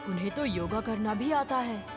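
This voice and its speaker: A woman's voice: mid-range voice, lively intonation, normal speed, natural voice. A woman voicing a cheerful, food-loving young boy in a dubbed children's cartoon, eager and wide-eyed, with music playing behind. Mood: cheerful storytelling. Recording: clear music bed behind the voice.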